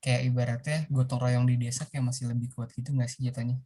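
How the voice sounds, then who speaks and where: A man's voice: medium pitch, little pitch movement, fast, dark tone. A young man interviewing guests in a casual, friendly way. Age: young adult. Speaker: student interviewer.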